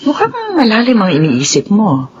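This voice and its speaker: An actress playing a gentle, trusting middle-aged wife and mother in a radio soap, inquiring and reassuring. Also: medium pitch, very animated, normal speed, lightly acted. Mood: gentle, pleased. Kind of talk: Filipino radio soap acting. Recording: dull radio audio with background noise.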